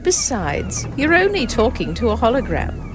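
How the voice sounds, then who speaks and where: A woman's voice: high-pitched, sing-song, normal speed, over music. A woman playing a haughty, imperious villain empress in a sci-fi audio drama, mocking and grand. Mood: smug, mocking.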